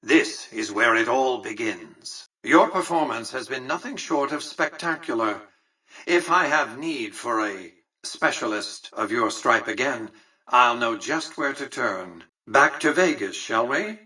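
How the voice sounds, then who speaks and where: A cold, calculating game mastermind, with a forceful voice roughened by husk. He is precise, aloof and sure of himself, and sounds slightly away from the mic. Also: mid-range voice, animated, far from the mic, full character voice. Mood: grand, pleased, then aloof, musing. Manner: precise, aloof, grandly measured. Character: cold, calculating, self-assured male mastermind. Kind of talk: video game character lines.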